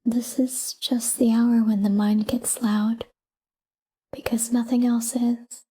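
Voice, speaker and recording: A woman guiding a sleep meditation in a calm, hushed, breathy whisper, soothing and soft. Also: a little high, slow.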